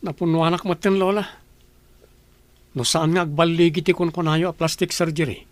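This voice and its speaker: A man's voice: a little high, fairly even intonation, normal speed, dry recording, close to an everyday voice. A man acting a despairing, bitter young grandson in an Ilocano radio comedy drama.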